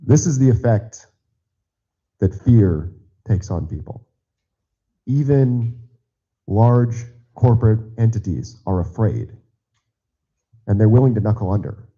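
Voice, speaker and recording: Hoarse deep man speaking off the cuff. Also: expressive, warm tone, lo-fi sound. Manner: halting, then rising to conviction. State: hoarse from a cold. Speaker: party committee member. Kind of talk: unplanned remarks at a public meeting. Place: large auditorium.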